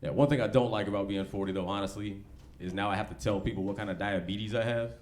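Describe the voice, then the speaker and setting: A man's voice: on the low side, fairly even intonation, fast, a little mellow, a faint crowd. A forty-year-old American man doing conversational, slangy, profane stand-up comedy in a laid-back way, live in a club. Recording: live club recording with background noise.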